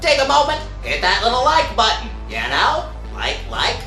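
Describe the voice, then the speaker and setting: Animated male channel announcer. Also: extremely high pitch, moderate pace, echoey room, faint music behind. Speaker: channel promoter. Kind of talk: scripted promotional announcement.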